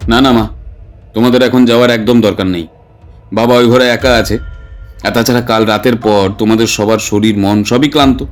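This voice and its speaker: A man's voice: medium pitch, steady tone, moderate pace, natural voice. A man playing a desperate, determined young village father in a horror audio story, speaking earnestly. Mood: firm, gentle. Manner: earnest, pleading.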